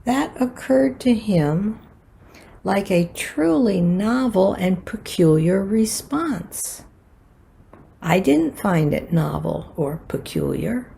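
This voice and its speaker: An older American woman reading a prepared personal reflection for a worship service in a measured, earnest manner. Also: medium pitch, animated, creaky, dark tone, slight background noise. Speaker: worship associate.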